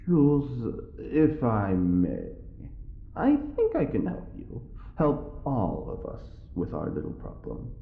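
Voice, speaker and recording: An actor playing a smug, well-bred prisoner with a sly wit, speaking in an arch, mock-polite drawl in an audio drama. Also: male voice, mid-range voice, huge pitch swings, takes it slowly, creaky, reverberant, narrow, tinny audio, full character voice. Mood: sly, persuasive. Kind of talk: scripted audio drama acting.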